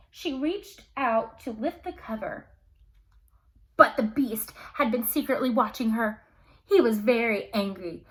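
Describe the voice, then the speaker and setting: A woman reading a fairy tale aloud to children expressively, with casual asides. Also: a little high. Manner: expressive, dramatic storytelling. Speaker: storyteller, teacher.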